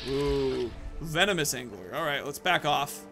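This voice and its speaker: A man's voice: mid-range voice, lively intonation, a little slow. A man hosting a gaming video, giving casual commentary and thinking aloud about his moves and choices. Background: video game music.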